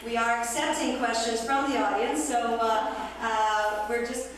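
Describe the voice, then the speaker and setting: A woman performing as a playful, teasing radio call-in host in a live theatrical radio drama, with a halting, coy delivery. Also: fairly high pitch, steady tone, close to an everyday voice. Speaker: performance artist. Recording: distant echoey hall, faint noise.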